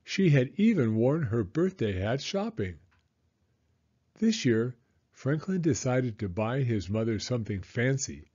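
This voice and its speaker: A man narrating a children's storybook aloud in an expressive, measured storytelling manner. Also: mid-range voice, husky, dull audio.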